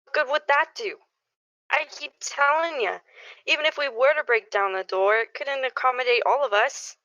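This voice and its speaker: Radio play: reproachful, level-headed neighbor for a female actor, slightly high and dry, muffled lo-fi sound. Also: normal speed, bright, forceful tone, dry recording. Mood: insistent. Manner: reproachful, insistent. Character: level-headed, exasperated neighbor urging restraint. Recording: dull lo-fi audio.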